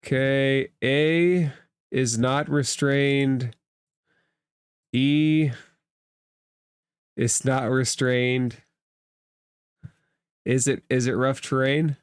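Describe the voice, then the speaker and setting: A game master narrating a tabletop game in a measured, matter-of-fact way. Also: medium pitch, steady tone, smooth, dry recording, studio quality.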